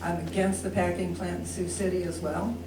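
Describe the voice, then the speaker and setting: An older woman with a fairly low voice gives unscripted remarks at a public meeting. She sounds nervous and hesitant, heard from a distance in an echoey, noisy room. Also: little pitch movement, a little fast. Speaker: resident speaking at public comment.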